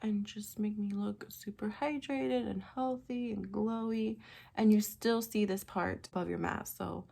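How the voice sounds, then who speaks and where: A young woman with a raspy, congested voice from a sinus infection, chatting casually through a quick makeup tutorial with lots of fillers. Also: mid-range voice, fairly even intonation, warm tone. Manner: chatty, rambling with many fillers. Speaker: beauty vlogger.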